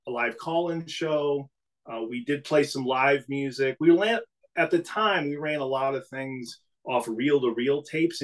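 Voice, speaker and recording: Middle-aged New England man, relaxed guest with a rambling, easygoing delivery. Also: mid-range voice, rough recording. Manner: relaxed storytelling with frequent restarts. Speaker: podcast guest, business CEO. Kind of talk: casual interview conversation.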